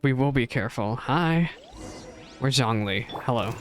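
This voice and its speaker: A man's voice: medium pitch, animated, unhurried, soft background music. A man chattily musing aloud as a streamer reacting to a game, in casual commentary.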